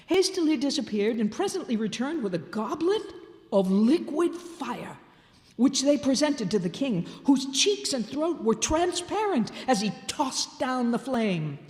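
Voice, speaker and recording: An older woman tells a classic tale on stage with theatrical expression. Her voice sits a little high. It carries through a large hall with long reverb. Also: very animated, measured pace, a little mellow. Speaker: storyteller. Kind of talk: dramatic live reading of a classic tale.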